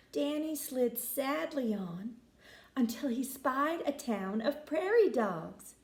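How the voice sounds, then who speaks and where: A woman tells a children's story in a high, swooping voice. She takes her time and uses expressive, folksy inflection, in a roomy, echoing space. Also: takes it slowly. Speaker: storyteller reading a picture book aloud. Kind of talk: animated children's story reading.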